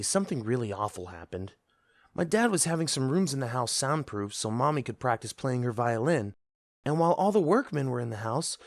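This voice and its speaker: A man reads a scripted story in the first person as a child. His voice is medium in pitch with lively, swinging intonation, and his manner is earnest and boyish. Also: no room sound, clean studio sound. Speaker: narrator.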